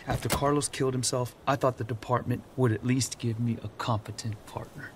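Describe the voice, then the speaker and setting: A troubled, middle-aged police detective played by a male actor in an audio drama. He speaks in a blunt, terse way at a medium pitch. There is noticeable noise around his voice. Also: steady tone, a little fast, close to an everyday voice. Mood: angry, scornful. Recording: noisy ambience under dialogue.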